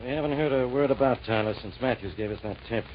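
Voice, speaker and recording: Brisk sarcastic police inspector played by a man. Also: medium pitch, lightly acted. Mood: gruff, dismissive. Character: gruff, skeptical, sarcastic male police inspector. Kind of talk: 1940s radio acting. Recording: muffled, noisy old radio broadcast.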